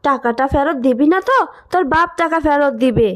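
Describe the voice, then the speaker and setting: Woman acting a shrill, scolding village customer. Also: a little fast, background noise, natural voice. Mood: furious. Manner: scolding, threatening. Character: furious, foul-mouthed village woman customer. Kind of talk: village cartoon melodrama.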